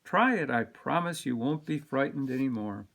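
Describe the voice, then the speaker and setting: A man voicing a calm, reassuring father who gently encourages his small son in a storybook. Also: medium pitch, normal speed, vocal fry. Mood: gentle, coaxing.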